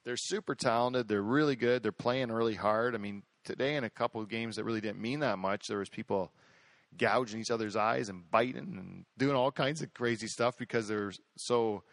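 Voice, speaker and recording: A man hosting a podcast in casual chat, boastful and excitable, rambling with lots of restarts. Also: fairly low pitch, brisk, dry recording. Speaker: podcast host.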